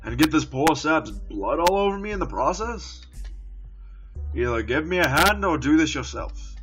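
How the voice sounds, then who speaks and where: Man doing a gruff, bossy stranger with a put-on accent. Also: mid-range voice, normal speed, fairly bright tone, soft background music, natural voice. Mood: annoyed. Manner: brusque, bossy.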